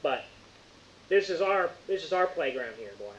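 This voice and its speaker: A man's voice: fairly high pitch, sing-song, moderate pace, fairly bright tone. A man voicing a stern, territorial lawman with an exaggerated Southern drawl and a folksy, bossy delivery in a tabletop game. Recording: dull roomy audio with faint hiss.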